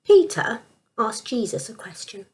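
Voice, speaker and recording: Animated higher-voiced woman storyteller. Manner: deliberate, emphatic repetition. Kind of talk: scripted children's Bible storytelling.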